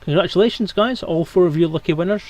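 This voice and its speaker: A man's voice: high voice, fast talker, darkish tone. A man hosting a live stream in casual, bantering, sweary chat. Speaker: stream host.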